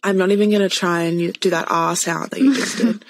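A woman's voice: on the low side, a little fast, dry recording. A woman from Australia with an Australian accent, hosting a podcast in a casual, bubbly chat and laughing often, heard over a remote call. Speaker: podcast host.

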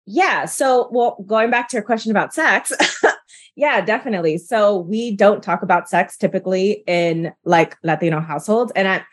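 A woman's voice: medium pitch, moderate pace. A woman from the United States, a guest coach, talking in a bubbly, chatty way on a podcast. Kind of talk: casual podcast conversation.

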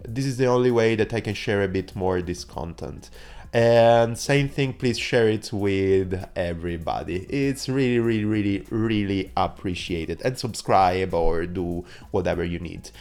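Hoarse Italian man hosting a podcast. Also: on the low side, a little slow, darkish tone. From southern Italy. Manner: chatty, rambling, many fillers and restarts. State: hoarse from a cold. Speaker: podcast host. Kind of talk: casual solo podcast intro.

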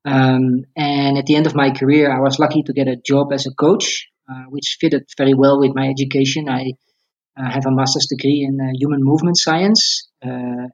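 A man's voice: medium pitch, highs cut off. A man in his forties from the Netherlands with a Dutch accent, a coach chatting casually as an interview guest. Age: 40s.

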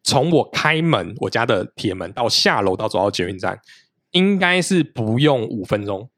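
A man's voice: on the low side, big pitch swings, normal speed, fairly bright tone, no room sound, studio quality. A man in his late thirties, a podcast guest in casual chat, explaining things at length in a practical, detailed way.

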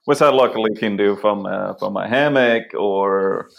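Middle-aged male interview guest from Denmark, native Danish speaker, low voice, casual storytelling. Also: normal speed, creaky, lo-fi sound. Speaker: interview guest, photographer and writer.

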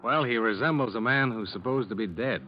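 An actor playing a cool, methodical insurance investigator in 1950s radio detective style, clipped and dryly polite. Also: male voice, mid-range voice, brisk, a little hiss or hum, natural voice. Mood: polite, probing. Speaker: lead actor and narrator. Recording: dull vintage radio recording.

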